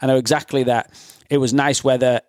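A man's voice: mid-range voice, level tone, a little fast, darkish tone, dry recording, studio quality. A man hosting a podcast in an informal, bantering, chatty way. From North Wales, UK. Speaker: podcast host. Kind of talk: casual podcast chat.

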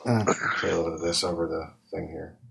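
A man's voice: low voice, fairly even intonation, fast talker, creaky, background noise. A man in his forties musing in a rambling, casual way as a podcast co-host. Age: 40s. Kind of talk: casual chat.

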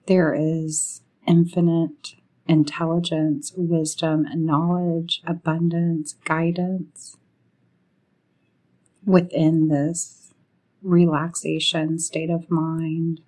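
Female meditation narrator: soothing and relaxed in tone, very slow delivery, short phrases with spacious pauses. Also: deep voice, rough recording. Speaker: guided meditation leader.

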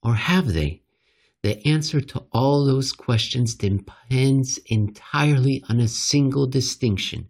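Guy reading an opinion piece out loud, slow and a bit raspy, mid pitch, punches the key words. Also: expressive. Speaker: reader narrating an economics commentary article. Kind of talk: scripted read-aloud commentary.

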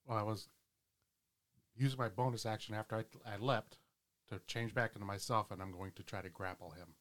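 A man's voice: on the low side, steady tone, fairly quick, a bit bright. A man playing a tabletop game, casually talking through his moves as a player.

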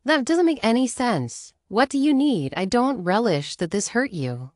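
A woman's voice: fairly high pitch, sing-song, moderate pace, no echo, studio quality. A woman voicing a firm, self-possessed woman standing her ground in a dramatic reading of a text exchange. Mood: resolute. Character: firm, boundary-setting woman defending herself and friends.

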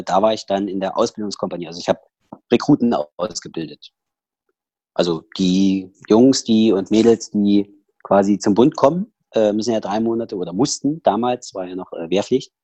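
A man's voice: on the low side, warm tone, no room sound, highs cut off. A man with a Saxon accent, a podcast guest talking casually over a headset, rambling with many fillers and restarts. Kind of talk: casual personal interview talk.

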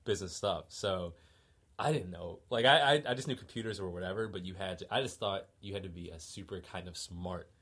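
A man's voice: low-pitched, fairly quick, a bit bright, slightly rough. A man in his thirties hosting a casual interview podcast, rambling with lots of "like". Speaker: podcast host.

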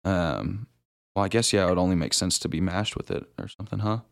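Laid-back guy with a lowish voice. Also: a little fast, gravelly. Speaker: podcast co-host. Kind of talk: casual banter.

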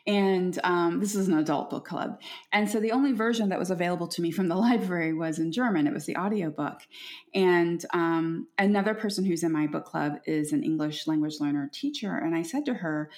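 Woman, interviewer, low pitch, affirming, chatty. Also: brisk. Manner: warm, affirming, chatty storyteller. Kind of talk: casual conversation.

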